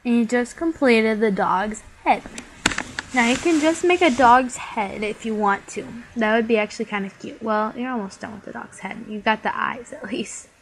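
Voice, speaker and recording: A woman's voice: fairly high pitch, normal speed, slight background noise, rough recording. A young woman, stuffy from a cold, chattily talking through a bead craft tutorial step by step. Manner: chatty, many "like" fillers.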